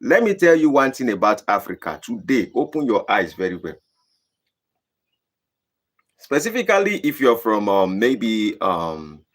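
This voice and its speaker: A man from Nigeria with a Nigerian accent, hosting a live stream and answering viewer questions in a deliberate, emphatic way. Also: a bit bright. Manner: deliberate, emphatic, rising to high emphatic bursts. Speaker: channel host.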